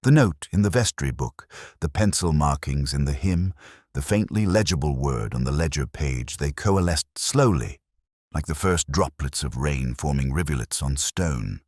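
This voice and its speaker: A man playing a weary police inspector, narrating his case in a measured, reflective and solemn way. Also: a bass voice, animated, darkish tone, breathy edge, dry recording, studio quality, heavily acted. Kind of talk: slow scripted first-person audiobook-style narration.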